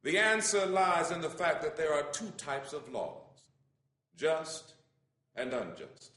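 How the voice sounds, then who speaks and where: A man's voice: on the high side, animated, slow pace, pushed and bright. A man giving a dramatic recitation of a written letter in an oratorical, impassioned manner with emphatic phrasing.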